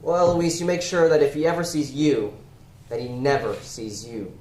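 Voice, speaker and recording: A man's voice: medium pitch, steady tone, normal speed, darkish tone, natural voice. A man acting in a stage play as a shady, persuasive crime boss who coaxes and evades. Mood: guarded, instructing. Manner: coaxing, evasive, rhetorical. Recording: echoey room, clear background noise.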